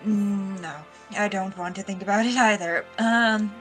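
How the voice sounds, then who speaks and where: A woman's voice: medium pitch, steady tone, a little slow, a bit bright, faint music behind. A woman role-playing in conversation as the captain of a ship in a tabletop game. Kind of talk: in-character tabletop role-play chat.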